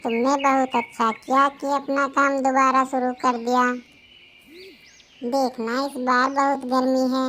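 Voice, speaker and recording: Woman as friendly cartoon bird, high pitch, slow pace, supportive, reassuring, faint birdsong. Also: flat delivery, sharp tone, slight background noise. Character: friendly neighbour bird customer, supportive and cheerful. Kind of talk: Hindi children's cartoon voice acting.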